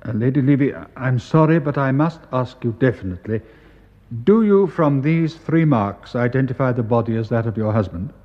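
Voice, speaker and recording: A man plays a grave English medical official in a radio drama. He is formal, careful and solicitous, with an educated accent and a middle-pitched voice. The recording is a touch dull. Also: lively intonation, lightly acted. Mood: grave, gentle. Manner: measured, polite, officious.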